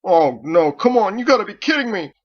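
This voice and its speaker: A man voicing a brash, excitable young rifleman in an audiobook story. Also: sits high, a little fast, dull audio, pitched above the performer's own voice. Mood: panicked. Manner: loud, jeering, excitable. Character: brash, teasing young male rifleman on patrol.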